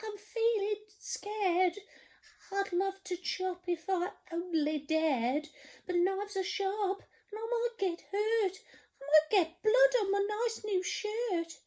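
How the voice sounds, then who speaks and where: A woman reading aloud and voicing a timid, easily scared male cook in a high, wavering voice. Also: slow, dark tone, exaggerated voice.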